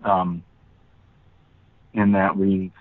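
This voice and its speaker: Raspy man on a tinny phone line. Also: sits low, little pitch movement, no room sound. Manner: halting, earnest, many fillers. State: tired, late at night. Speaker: guest. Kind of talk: casual conversation.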